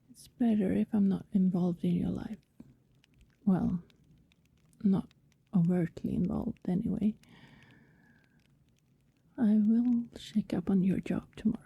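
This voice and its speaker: A woman playing a tender, possessive vampire in an intimate ASMR roleplay, seductive and wistful, whispering slowly and soothingly close to the microphone. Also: medium pitch, fairly even intonation, no echo, phone-quality sound, exaggerated voice. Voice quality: breathy, mostly whispered. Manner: slow, lingering, soothing delivery. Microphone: very close, dry microphone.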